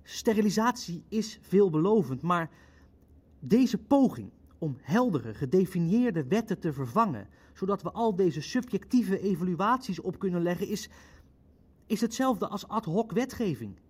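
An actor in an audio drama playing an indignant Nazi jurist who argues formally and insistently for rigid legal order. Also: male voice, high voice, sing-song, a little slow, a little mellow, slightly rough, exaggerated voice. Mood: insistent, exasperated.

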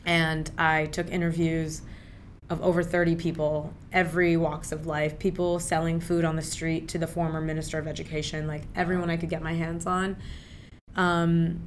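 Chatty young woman, low-pitched, faint rumble. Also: normal speed. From Pakistan. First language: Urdu. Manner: chatty, animated, lots of fillers. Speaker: guest filmmaker. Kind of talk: casual interview talk.

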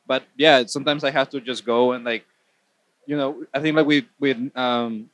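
A man with as his talks as an academic panelist in a mid-pitched voice. He is earnest and informal, with loose phrasing, and the recording is dry. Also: steady tone, normal speed, fairly bright tone, no room sound. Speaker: panelist, professor. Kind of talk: casual panel talk.

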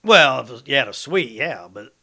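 A man's voice: mid-range voice, sing-song, moderate pace, bright, forceful tone. An American man co-hosting casual sports talk radio, blunt and opinionated.

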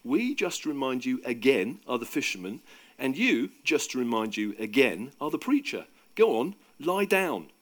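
A man voicing a cocky, bossy fisherman in a dramatised story reading, with a teasing, forceful manner. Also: medium pitch, sing-song, moderate pace, lightly acted. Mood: teasing, coaxing. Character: cocky, bossy fisherman disciple, panicking and bellowing in storms.

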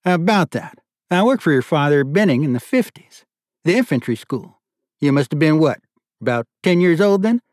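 A commanding middle-aged army colonel, as a male narrator puts him on, his voice raised in pitch and loud. He fires off brusque, official questions. It sounds dry and close, like an audiobook read in a studio. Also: sing-song, normal speed, dry recording. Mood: jovial.

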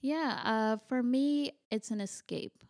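A woman with a soft voice, a guest on a podcast, talking casually and easily about running art classes, with frequent ums. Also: fairly high pitch, slow pace, vocal fry. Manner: easygoing, relaxed, frequent ums. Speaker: guest, paint-and-sip art instructor.

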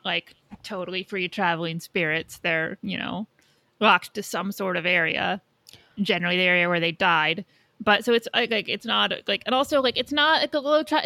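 A woman's voice: on the low side, pushed and bright, no room sound. A woman co-hosting a podcast, debating films casually in a blunt, argumentative, sweary way. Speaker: podcast co-host.

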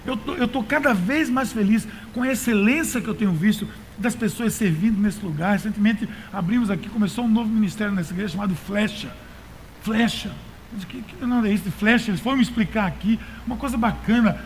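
A man's voice: sits high, a little hiss or hum, rough recording. A man with a Brazilian accent preaching as a pastor in a colloquial, exhorting way, repeating phrases for emphasis. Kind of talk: conversational sermon to a congregation.

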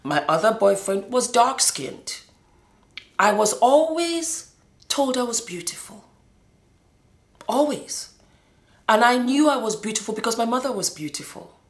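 A woman's voice: fairly high pitch, very animated, a little slow, long pauses. A middle-aged woman from Nigeria and Scotland giving a personal testimony, speaking emphatically and repeating words for stress. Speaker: former model telling her life story. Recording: roomy, echoing indoor sound.

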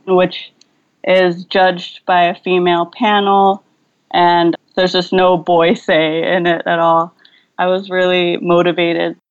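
A woman's voice: on the low side, muffled recording. A young woman filmmaker, speaking thoughtfully over a video call and searching for her words with fillers and restarts. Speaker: filmmaker guest. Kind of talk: relaxed video-call interview.

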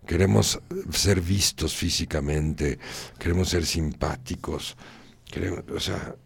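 A man's voice: deep voice, steady tone, unhurried, creaky, husky. A man hosting a radio talk show, moralizing and repeating phrases for emphasis. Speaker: radio host.

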